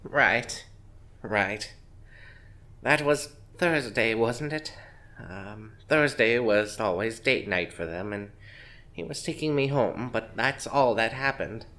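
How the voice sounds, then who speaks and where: A man's voice: lowish voice, slow pace, sharp tone. A man playing a chatty, evasive middle-aged grandmother in an audio drama, speaking hesitantly and conversationally. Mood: evasive, casual.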